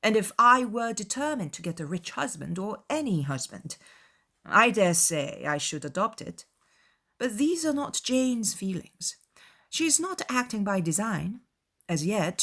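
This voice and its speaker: A woman playing a witty, spirited young gentlewoman with a wry manner in a dramatized audiobook. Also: medium pitch, exaggerated voice.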